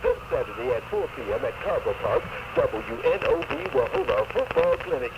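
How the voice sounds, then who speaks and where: A man's voice: mid-range voice, wildly swooping pitch, normal speed, creaky. A man delivering exuberant, emphatic promo patter as a 1970s local radio announcer. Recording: dull noisy 1970s AM radio cassette.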